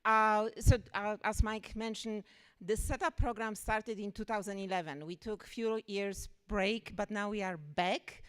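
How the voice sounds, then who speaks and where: A woman's voice: medium pitch, steady tone, a little slow, clear, resonant tone. A woman from Poland with a Polish accent, the founder of a physics workshop, giving an emotional and effusive welcome speech to an event audience. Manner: emotional and effusive, gushing with enthusiasm. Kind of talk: warm public welcome speech. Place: lecture event hall.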